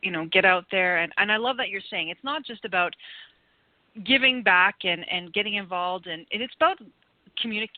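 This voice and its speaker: A woman's voice: mid-range voice, brisk, no room sound, phone-quality sound. A woman hosting a conversational interview show, effusive and encouraging in the way she talks. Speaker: show host.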